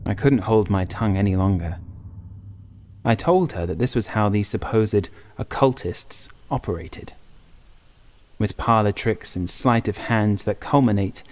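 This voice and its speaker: A man reading a scripted first-person horror story as an audiobook narrator, in a measured, literary way. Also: lowish voice, darkish tone.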